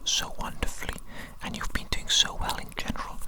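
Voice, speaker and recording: A woman playing a doting, eccentric evil doctor in a whispered ASMR medical roleplay, breathy and effusive, often repeating phrases. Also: a little fast, natural voice, rough recording. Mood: doting, grateful. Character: doting, eccentric evil doctor fussing over a tiny specimen.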